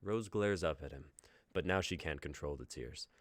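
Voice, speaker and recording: Very deep-voiced man reading directions. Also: normal speed, no room sound. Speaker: narrator reading stage directions. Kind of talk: reading scripted narration aloud.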